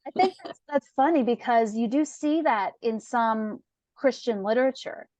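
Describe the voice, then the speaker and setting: A woman with a slightly high voice hosts an author interview. Her delivery is chatty and affirming, captured in a dry room with very little echo. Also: moderate pace, darkish tone. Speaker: interviewer and host from a book publisher. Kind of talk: conversational interview hosting.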